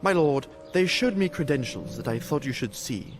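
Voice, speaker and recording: An actor playing a pragmatic, canny castle sergeant in a video game, talking in a wry, conversational way. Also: male voice, on the high side, lively intonation, normal speed, lightly acted. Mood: respectful. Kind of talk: video game cutscene acting. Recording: game music behind the voice. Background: game music.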